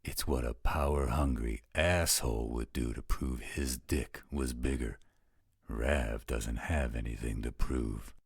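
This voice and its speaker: A man's voice: very deep voice, unhurried, vocal fry, slightly rough, dry recording, studio quality, exaggerated voice. A man playing a blunt, coarse-mouthed, protective male werewolf guardian in a scripted roleplay audio drama. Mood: scornful, blunt.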